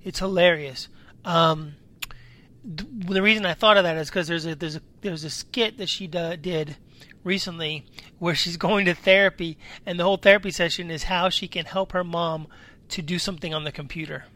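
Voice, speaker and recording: Raspy high-voiced chatty man. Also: steady tone, normal speed, sharp tone. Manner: chatty, jumps between topics. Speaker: podcast co-host. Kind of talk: casual chat. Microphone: home microphone.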